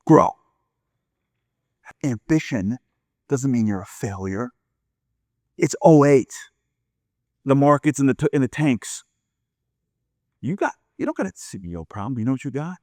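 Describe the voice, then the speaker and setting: A man voicing a calm, self-assured elderly billionaire investor, plainspoken and fatherly as he gives advice in a told story. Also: medium pitch, a little slow, pauses often, no room sound, lightly acted. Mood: firm, paternal. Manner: plainspoken, paternal, deal-making.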